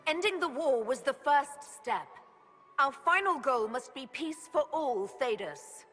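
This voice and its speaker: An actress playing a composed, principled heroine in a fantasy game, speaking in an earnest, direct, restrained way. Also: female voice, high-pitched, lively intonation, measured pace, a bit bright, faint music behind.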